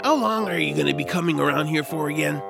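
A man's voice: a little high, fast talker, gravelly, over music. A man voicing a grumpy, reclusive troll with an exasperated, grumbling manner in a family audio drama. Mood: irritated. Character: grumpy, reclusive male troll, food-obsessed reluctant hero.

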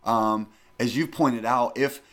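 Animated middle-aged man from the northern US. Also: mid-range voice, slow pace. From northern United States. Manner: animated, emphatic, repeats phrases. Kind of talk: casual conversational storytelling.

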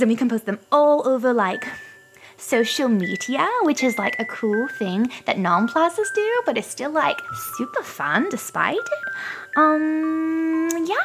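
A woman voicing a shallow rich young female wizard student in a comedy dating ad, giggly and ditzy, full of filler words. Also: sits high. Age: young adult. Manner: giggly, ditzy, filler-heavy valley-girl talk. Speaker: caller recording a dating ad.

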